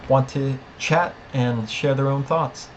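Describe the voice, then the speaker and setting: A man's voice: mid-range voice, steady tone, takes it slowly. A man co-hosting a podcast, giving opinionated, emphatic fan commentary in a casual review chat. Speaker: podcast co-host. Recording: clear background noise.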